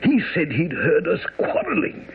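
A man's voice: on the high side, wildly swooping pitch, normal speed, vocal fry, heavily acted. An actor playing a frantic, dying old father confessing a secret in a radio drama. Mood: urgent, weakening. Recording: muffled, faintly noisy old radio broadcast.

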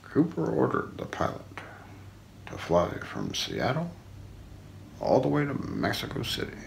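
A middle-aged man reading a scripted true-crime story as ASMR role play, in a measured, solemn documentary manner. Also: medium pitch, wildly swooping pitch, creaky, slight background noise. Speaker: narrator of an unsolved-mystery story. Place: small indoor room.

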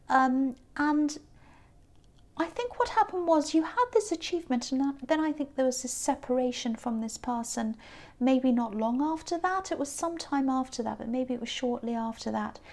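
A woman giving a tarot reading off the cuff, hesitant with many ums and restarts, her voice a little croaky. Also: high-pitched, a little mellow. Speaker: tarot reader. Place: indoors at a tabletop card spread.

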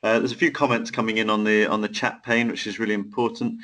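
A man hosting a live software webinar, often repeating words as he explains and reads out viewer comments. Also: fairly low pitch, level tone, fast. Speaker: webinar host.

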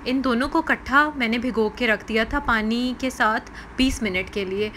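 Woman host, fairly high voice, patient step-by-step recipe voice-over, faint hiss. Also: moderate pace, a bit bright. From Pakistan. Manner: explains each step, often restating in simpler words. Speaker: cooking channel host.

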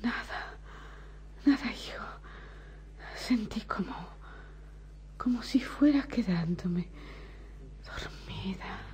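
A woman playing a weak, ailing mother in an old radio drama, with a breathy whisper. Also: mid-range voice, lively intonation, slow, pauses often, a little mellow. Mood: faint, drowsy. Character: weak, ailing mother soothing her son.

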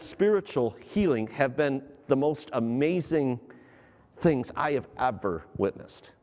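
A man's voice: mid-range voice, a little mellow, long reverb tail. A middle-aged man preaching a sermon as pastor on a large church stage. He speaks through a headset mic, gestures as he talks, and asks the congregation questions.

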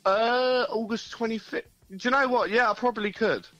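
A man's voice: extremely high pitch, fairly even intonation, normal speed, sharp tone, dry recording, lo-fi sound. A British man, a band member guest on a radio show, talking in an easygoing, laid-back way and repeating phrases. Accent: British English. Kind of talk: casual radio interview.

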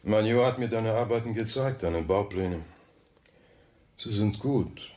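A deep-voiced man of money in a radio play, acted by a man. He is suave and coolly probing, talking to his rival with smooth, polite condescension. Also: unhurried, darkish tone, lightly acted. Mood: coolly controlling. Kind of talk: German radio drama dialogue.

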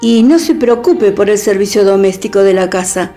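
A woman playing a proud, sharp-tongued young woman in a radio drama, with a Rioplatense Spanish accent. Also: mid-range voice, lightly acted. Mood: cool ironic. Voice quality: cool, ironic, measured. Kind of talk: online radio drama acting. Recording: faint music underneath.

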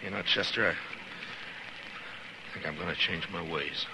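An American actor playing a steadfast, terse US marshal in a 1950s radio western, with measured, halting speech. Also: male voice, low voice. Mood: grim, reflective. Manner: terse, measured, hesitant pauses. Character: steadfast, laconic US marshal of the Old West. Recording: noisy 1950s radio recording.

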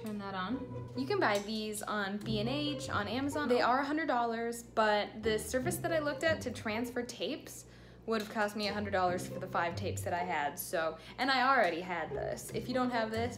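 A young adult woman giving a casual how-to tutorial in a chatty, informal manner. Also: medium pitch. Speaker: tutorial presenter. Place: small indoor room with bare block walls. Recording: echoey room with music behind. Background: music.

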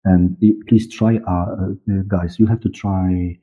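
A man in his forties with a Polish accent, giving halting interview answers as he searches for words and repeats himself. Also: deep voice, steady tone, dark tone, slightly rough. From Poland. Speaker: guest, audio drama director and producer. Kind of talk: unscripted interview talk. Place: dark room, on a video call. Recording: muffled phone-like video-call audio.

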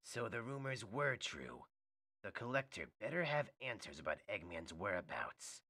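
Man acting a brooding low-voiced hedgehog antihero. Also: very animated, normal speed, sharp tone, dry recording, heavily acted. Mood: grim, menacing. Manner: low, terse, grim.

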